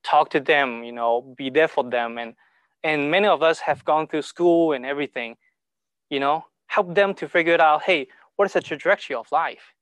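Man, halting broken English, church talk, dry sound. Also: on the high side, fairly bright tone, clear, resonant tone, dry recording. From Hong Kong. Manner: halting, repeats words, broken grammar. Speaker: speaker sharing testimony. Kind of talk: informal talk to a church group.

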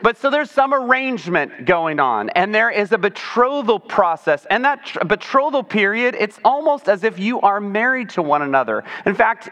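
A man with a high voice giving an explanatory sermon in a conversational, informal way, tossing in rhetorical questions. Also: fairly bright tone, muffled recording. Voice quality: high-pitched voice. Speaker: preacher. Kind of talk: sermon, explanatory Bible teaching. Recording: long hall reverb.

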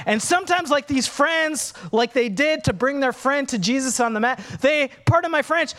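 High-voiced animated man preaching. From Minnesota, USA. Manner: animated, candid, humorous, acts out dialogues. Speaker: pastor. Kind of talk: sermon.

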